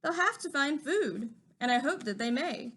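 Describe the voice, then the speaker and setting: A small, indignant forest creature scolds in a high, bright voice that rises and falls with feeling, as a woman reading a storybook aloud puts him on. He lectures and preaches, sure he is right. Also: animated, normal speed. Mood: scolding.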